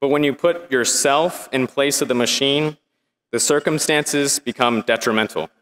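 A young man, giving his student graduation speech in an earnest, measured way with wry humor. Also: medium pitch, pushed and bright. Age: young adult. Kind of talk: prepared commencement speech. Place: large indoor arena. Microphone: podium microphone. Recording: long hall reverb.

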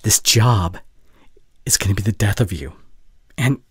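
Guy acting as a breathy young boyfriend in an audio roleplay, heartbroken but caring, halting, real close dry mic. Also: on the low side, no room sound. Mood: pained, pleading. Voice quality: breathy, often dropping to near whisper. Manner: halting, earnest, pausing mid-sentence. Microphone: close studio condenser mic.

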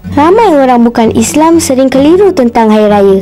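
A woman voicing a sensible, polite teenage big sister in a cartoon, with a gently lecturing manner. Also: sits high, lively intonation, normal speed, soft background music. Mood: explaining. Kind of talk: cartoon voice acting.

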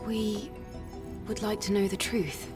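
A woman acting as a determined young android soldier in a video game. Her voice is mid-pitched, serious and composed, over clear background music. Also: flat delivery, slow pace, close to an everyday voice. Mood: grave, questioning. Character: resolute, composed young female android warrior. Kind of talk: cinematic video game dialogue.

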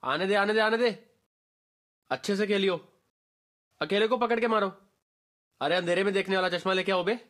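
A man's voice: high voice, moderate pace, pauses often. A man voicing a brash, streetwise gamer barking slangy squad callouts for a game voice pack. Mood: excited, urgent. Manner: animated, slangy, bossy.

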